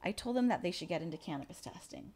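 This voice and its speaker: This is a woman in her thirties with a slightly low voice. She speaks informally and with emphasis, as a co-host in a relaxed chat. Her delivery is unscripted and conversational. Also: fast talker, breathy edge. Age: 30s. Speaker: podcast co-host, cannabis industry professional. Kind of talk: casual chat.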